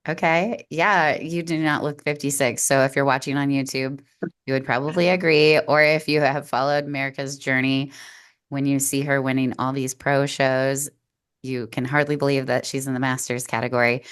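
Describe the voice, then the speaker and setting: A woman hosting a podcast, upbeat and brisk, asking questions and dropping in quick acknowledgments. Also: very deep voice, flat delivery, clean tone. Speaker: podcast host. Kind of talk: podcast interview.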